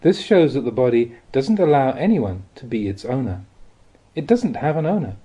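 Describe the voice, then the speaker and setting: Guy reading buddhist teachings, soft mellow voice, slightly husky, measured but explaining things expressively. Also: medium pitch, very animated. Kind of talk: audiobook reading of a spiritual talk.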